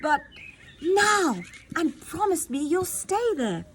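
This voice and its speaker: A woman voicing a haughty queen shouting orders. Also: very high-pitched, very animated, takes it slowly, slight background noise, pitched above the performer's own voice, full character voice. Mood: urgent. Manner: commanding, indignant shouting. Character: proud queen mother, middle-aged, dragged off in panic. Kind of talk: storybook read-aloud.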